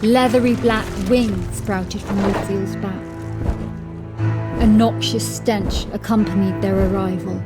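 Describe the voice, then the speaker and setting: Slow-paced woman narrating horror. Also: mid-range voice. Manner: measured, suspenseful storytelling. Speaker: audiobook narrator. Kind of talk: dramatic scripted horror narration. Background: music.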